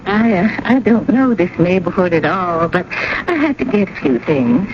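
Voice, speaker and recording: Fluttery, genteel old widow, noisy recording. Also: female voice, fairly low pitch, sing-song. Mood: fluttery, polite. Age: older. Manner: chatty, hesitant, halting repetitions, ladylike. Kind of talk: 1980 American radio drama acting. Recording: dull, noisy old radio broadcast.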